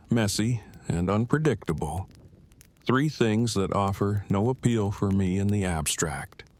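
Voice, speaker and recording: Man acting a low-voiced, bookish, devoted husband. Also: a little mellow, no echo. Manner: formal, verbose, precise, measured. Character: devoted, bookish, formally spoken husband in an apocalypse. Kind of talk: full-cast audio drama acting.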